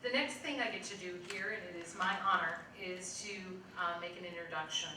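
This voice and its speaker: A woman's voice: lowish voice, a little fast, pushed and bright. A woman hosting an event, giving a heartfelt, formal introduction of the main speaker. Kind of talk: prepared introduction speech. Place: event hall with audience. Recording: echoey hall from a distance, background noise.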